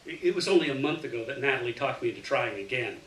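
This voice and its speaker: A man reading a first-person short story aloud in an expressive, dramatic way. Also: medium pitch, quick pace. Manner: dramatic, animated delivery with acted dialogue. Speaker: reader of a short story to an audience. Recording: roomy, distant mic, faint noise.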